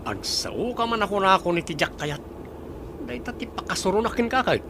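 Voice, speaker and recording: An actor with an Ilocano accent playing a spoiled, boastful and touchy young boyfriend in a radio drama. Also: male voice, sits high, lively intonation, a little fast. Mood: defensive. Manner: boastful, petulant. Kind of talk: Filipino radio drama acting. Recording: noisy, vehicle sound behind.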